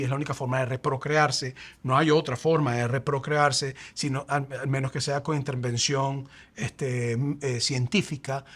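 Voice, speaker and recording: A man, a pastor, explaining faith and identity in a lively conversational way, acting out quoted examples. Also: mid-range voice.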